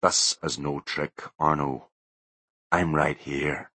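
A man voicing a hulking older bodyguard who plays a ghost, speaking low, hushed and very slow. The tone is breathy and menacing. It sounds like a close, dry storybook reading. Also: steady tone, no room sound, full character voice. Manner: slow, quiet, ominous.

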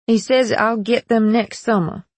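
Woman voicing a distressed, husky young woman. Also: fairly high pitch, fairly even intonation, a little slow, darkish tone, dry recording, voice pushed up. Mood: dejected. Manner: urgent, dialect speech with simple present tense. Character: distressed young enslaved woman, worn down but determined. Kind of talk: audiobook character voice.

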